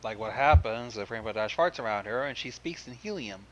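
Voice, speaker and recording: A man's voice: mid-range voice, expressive, brisk, dull audio. A man making casual, joking comments alongside a livestreamed story reading.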